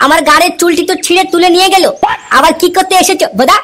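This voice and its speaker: A woman's voice: very high voice, fairly even intonation, very fast, fairly bright tone. A woman voicing a hot-tempered, foul-mouthed young husband in an amateur cartoon comedy, scolding and boastful. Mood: angry. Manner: scolding, crude, combative.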